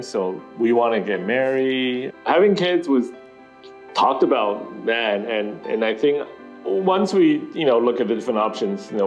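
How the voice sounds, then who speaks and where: A man's voice: mid-range voice, lo-fi sound. A man from Taiwan telling his personal story in a reflective, conversational way for a corporate video. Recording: soft music underneath.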